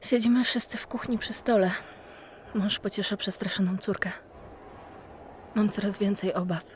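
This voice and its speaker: A woman's voice: mid-range voice, fairly even intonation, normal speed, slight background noise, lightly acted. Woman playing a worried mother's diary voice. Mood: growing worry. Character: loving, worried mother reading out her storm diary. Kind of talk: audio drama diary monologue.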